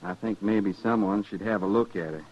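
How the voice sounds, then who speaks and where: Actor as low, unflappable scout. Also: male voice, lightly acted. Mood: earnest, concerned. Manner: folksy, plain-spoken, unhurried. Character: small, self-possessed Army scout, young husband, unflappable. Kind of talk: 1950s western radio drama acting. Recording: muffled 1950s radio recording.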